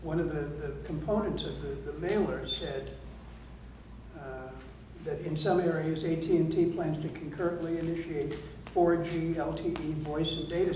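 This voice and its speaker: A man reports to a public meeting as a council member, in a measured, formal manner. He sounds far from the mic in a very reverberant room, with clear background noise. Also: fairly high pitch. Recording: echoey meeting hall, distant mic, noisy.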